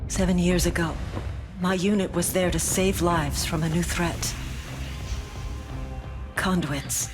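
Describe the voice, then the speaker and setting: An actress playing a steely female military commander, narrating her past gravely and deliberately in a game cutscene. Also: fairly low pitch, fairly even intonation. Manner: measured, grave, deliberate pauses. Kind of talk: dramatic first-person game cutscene narration. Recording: music playing under the voice.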